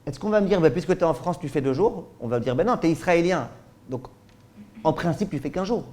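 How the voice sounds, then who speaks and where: A man's voice: fairly high pitch, lively intonation, quick pace, darkish tone. Man explaining with rhetorical questions. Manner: frequent checks with listeners ("d'accord?"). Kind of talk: spoken Torah lesson.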